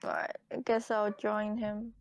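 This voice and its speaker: Woman in a tabletop rpg, low-key and hesitant, creaky voice, slow talker. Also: monotone, no room sound. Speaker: tabletop game player. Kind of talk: casual in-character chat.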